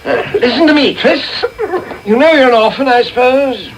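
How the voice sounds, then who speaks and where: Very high-voiced workhouse board gentleman. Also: moderate pace. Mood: condescending, official. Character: well-fed, weary, condescending gentleman chairing workhouse board. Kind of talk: period radio drama acting. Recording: noisy, hissy off-air radio recording.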